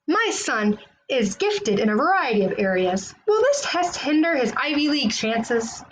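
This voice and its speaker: A woman's voice: high voice, very animated, moderate pace, slight background noise, rough recording. A woman voicing an anxious, pushy, status-minded parent in a storybook, asking formal, fretful questions. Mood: anxious, pompous.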